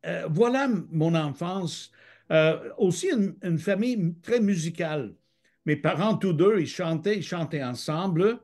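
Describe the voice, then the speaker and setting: Brief: middle-aged man from Michigan, slow and hesitant, slightly high voice, video call. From Michigan, United States. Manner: hesitant, many "euh" fillers. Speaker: guest. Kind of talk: testimony interview.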